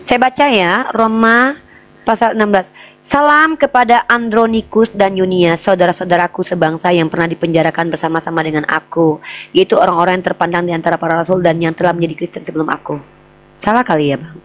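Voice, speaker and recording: A woman hosting a live online religious debate, pressing her points with drawn-out emphasis and reading scripture aloud. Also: lowish voice, fairly even intonation. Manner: insistent, drawn-out emphatic delivery, addresses others as younger siblings. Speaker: debate host.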